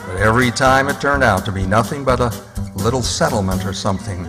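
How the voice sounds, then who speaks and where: Radio narrator over clear music. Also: male voice, mid-range voice, fast talker. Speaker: radio drama narrator and announcer. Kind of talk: scripted storytelling narration. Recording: long reverb, guitar music behind.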